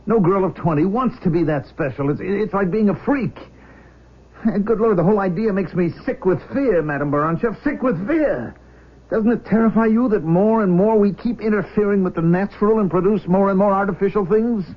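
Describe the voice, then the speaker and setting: Radio drama: anguished middle-aged father, earnest, easily flustered. Rough-edged, higher-set voice. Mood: alarmed, pleading. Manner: halting, stammering, earnest pleading. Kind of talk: 1970s radio drama acting. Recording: muffled vintage radio broadcast recording.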